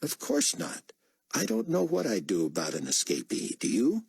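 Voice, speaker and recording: A man voicing a brisk man who gives curt commands while quietly helping a prisoner. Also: wildly swooping pitch, vocal fry, husky, no echo, studio quality, exaggerated voice. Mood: urgent. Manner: curt, commanding, low-key. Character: brisk male journalist posing as an officer, secretly kind.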